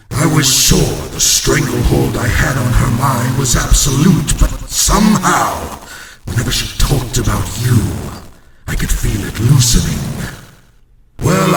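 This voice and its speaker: Vengeful game monster villain, gravelly, slow and pompous, menacing, in a noisy echoing hall. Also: male voice, medium pitch. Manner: theatrical, pompous, menacing, archaic wording. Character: grandiose, vengeful villain in a new monstrous body. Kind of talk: villain monologue for a horror game. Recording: echoey reverberant hall, distant, loud noise.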